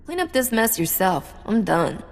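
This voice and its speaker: An actress playing a tough, sardonic smuggler captain in a video game. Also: female voice, on the high side, sing-song, moderate pace. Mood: hard, wry. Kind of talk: video game cutscene acting. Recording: reverberant hall, faint music.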